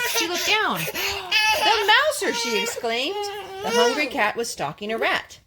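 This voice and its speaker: A woman reading a children's storybook aloud expressively, with casual asides to children. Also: medium pitch. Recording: clearly noisy home recording with clicks.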